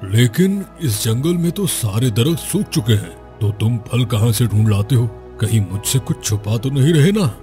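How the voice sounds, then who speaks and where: A man's voice: medium pitch, animated, moderate pace, few pauses, mellow, faint music behind, close to an everyday voice. A man playing a nosy, suspicious villager in a scripted cartoon moral story. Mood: curious, probing.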